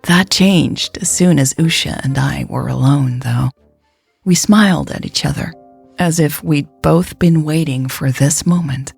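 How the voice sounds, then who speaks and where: Low-pitched woman narrating, with music. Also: measured pace, creaky, a little mellow, slightly rough. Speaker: podcast storyteller. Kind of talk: scripted first-person narration of a romance story.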